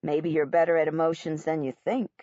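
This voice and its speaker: A woman voicing a gentle, sorrowful middle-aged male art teacher who speaks softly and slowly. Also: sits low, flat delivery, no echo, muffled recording, voice pushed down, close to an everyday voice. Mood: gentle sad. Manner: soft, slow, sorrowful.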